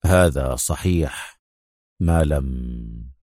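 A man's voice: deep voice, lively intonation, brisk, no echo, studio quality, voice pushed down. A man voicing a resolute, curt action hero who is wounded and angry, in an audio adventure story. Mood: brooding.

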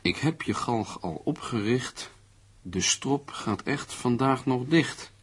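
A man's voice: mid-range voice, lively intonation, takes it slowly, a little hiss or hum. An actor in a radio play playing a worried, sardonic man with an emphatic, condescending way of talking. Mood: wry, pondering. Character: worried, sardonic man lecturing an amateur sleuth.

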